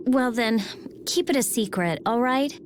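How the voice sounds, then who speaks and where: A woman's voice: a little high, measured pace, a little hiss or hum, close to an everyday voice. A woman playing a blunt, prickly teenage girl genius in a video game, brusque and guarded. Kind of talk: English video game voice acting.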